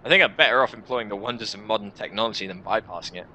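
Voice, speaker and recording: A man's voice: lowish voice, very fast, sharp tone, slight background noise. A man hosting a gaming video, reading visual novel narration dramatically and adding casual commentary.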